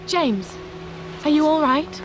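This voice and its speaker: A woman's voice: high voice, animated, slow, noisy background. A woman playing a flirtatious, playful ally of a spy in a video game, talking in a teasing, breezy way. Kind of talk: video game cinematic acting.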